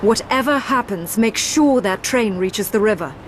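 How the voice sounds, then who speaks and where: An actress as a poised secret agent, noisy backdrop. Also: female voice, mid-range voice, lively intonation, moderate pace, close to an everyday voice. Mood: brisk, commanding. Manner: poised, businesslike. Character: cool, seductive British woman secret agent, commanding. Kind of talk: video game cutscene acting.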